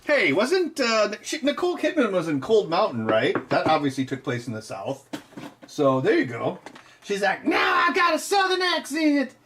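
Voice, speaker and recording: A man's voice: on the high side, sing-song, moderate pace, a little hiss or hum. A man hosting a live stream, rambling casually and joking, laughing often.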